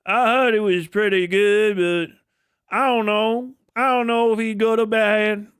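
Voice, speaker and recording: A man playing a wary mid-century fight-goer in an old television interview, halting and folksy. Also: high-pitched, fairly even intonation, fairly bright tone, clear, resonant tone, no room sound, studio quality, voice pushed up. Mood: wary, grudging.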